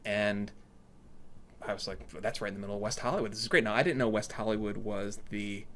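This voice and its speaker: A man chatting casually and telling stories in an animated way, acting out what people said to him. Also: lowish voice, fairly quick, a little hiss or hum. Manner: animated storytelling, acts out reported dialogue. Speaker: storyteller in conversation. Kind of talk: casual anecdotal chat.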